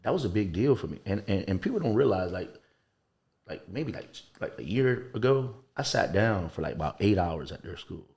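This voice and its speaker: A man's voice: low voice, big pitch swings, normal speed, darkish tone. A man, a coach being interviewed, talking informally and earnestly, repeating phrases for emphasis. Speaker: coach and gym owner, podcast guest. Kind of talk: casual interview chat.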